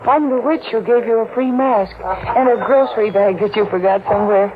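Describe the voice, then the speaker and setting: A woman's voice: fairly high pitch, fairly quick, a crowd in the background, natural voice. An actress playing a kind young woman who looks after a worn-out stranger, in 1940s radio drama style on an old tinny recording. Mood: concerned.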